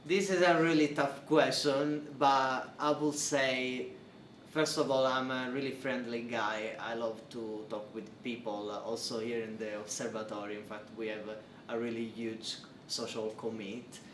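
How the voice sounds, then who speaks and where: A man's voice: medium pitch, little pitch movement, a little slow, a bit bright. A young Italian man with an Italian accent, speaking English as a second language and answering interview questions with hesitant fillers. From Italy. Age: young adult. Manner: hesitant, many fillers and restarts, non-native phrasing. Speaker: interviewee, PhD researcher. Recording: roomy, distant mic, faint noise.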